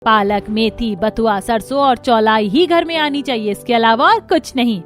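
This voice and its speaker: A woman puts on a bossy middle-aged mother-in-law for a cartoon family tale. She speaks in a high voice with music underneath. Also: moderate pace, close to an everyday voice. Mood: commanding. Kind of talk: Hindi family cartoon voice acting.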